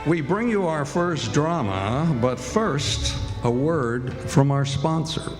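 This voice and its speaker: A man's voice: a little high, expressive, slow pace. A man announcing a live old-time radio show, reading scripted introductions and commercials. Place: live stage in a hall. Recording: reverberant hall, music behind.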